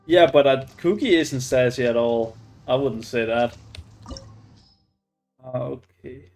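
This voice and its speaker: Gravelly, halting male game streamer. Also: mid-range voice, darkish tone, soft background music. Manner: halting, repeats himself. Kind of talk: casual livestream chat.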